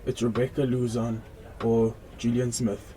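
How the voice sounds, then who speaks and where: A sombre male police colleague played by a young man in a radio drama. His mid-pitched voice stays flat, with clear background noise around it. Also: a little slow, lightly acted. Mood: grave. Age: young adult. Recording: noisy background ambience.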